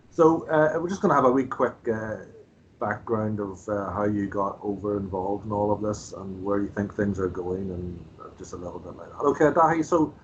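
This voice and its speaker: A man's voice: on the low side, normal speed. A man from Northern Ireland with a Northern Irish accent, interviewing a guest with chatty, informal questions. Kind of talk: casual conversational interview. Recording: slightly dull sound with faint background noise.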